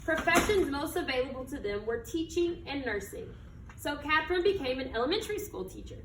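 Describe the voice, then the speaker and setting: An American woman reading a picture book aloud to children like a teacher, with expressive, emphatic delivery and questions to her listeners. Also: sits high, brisk. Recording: echoey room, distant mic, background noise.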